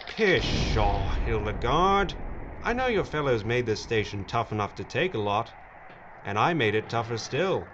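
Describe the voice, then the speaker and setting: An actor playing a gleeful mad villain in an audio drama, mocking, theatrical and drawling. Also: male voice, medium pitch, big pitch swings, a little slow, muffled recording, heavy background noise. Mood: smug mockery. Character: gleeful mad villain, smug greedy schemer.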